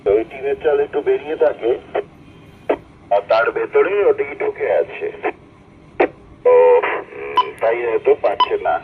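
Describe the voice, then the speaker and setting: A man's voice: medium pitch, big pitch swings, measured pace, pauses often. A man with a Bengali Indian accent chatting casually over a two-way amateur radio link as a fellow operator. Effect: two-way VHF radio transmission. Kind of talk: casual ham radio conversation. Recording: tinny, noisy VHF repeater radio link.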